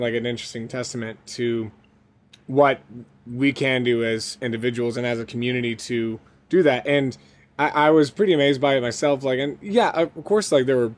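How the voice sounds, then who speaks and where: A man co-hosting a podcast in casual chat, with an enthusiastic, rambling way of talking. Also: mid-range voice. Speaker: podcast co-host.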